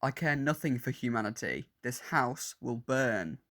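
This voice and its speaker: A man's voice: mid-range voice, lively intonation, measured pace, bright, forceful tone. A man playing a cold, hostile cyborg warrior who despises humanity, speaking in stiff, formal declarations in a fan audio drama. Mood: menacing, stubborn.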